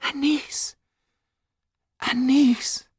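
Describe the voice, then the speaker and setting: A man playing a tender, grieving old dwarf magician with a hushed, breathy voice and a slow, longing delivery. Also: very high-pitched, level tone, dry recording, in a whisper, clean studio sound, pitched above the performer's own voice, exaggerated voice.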